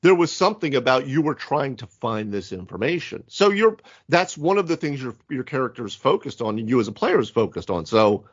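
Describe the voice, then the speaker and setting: A man's voice: medium pitch, big pitch swings, a little fast, hardly any pauses, muffled recording. A man chatting casually as a podcast co-host about tabletop games, informal and slangy, often saying dude and right.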